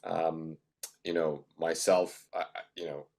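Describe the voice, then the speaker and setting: A man's voice: rumbling low, flat delivery, takes it slowly, creaky, darkish tone. A man in his fifties, a professor and physician, speaking thoughtfully on a video panel call and often saying "you know".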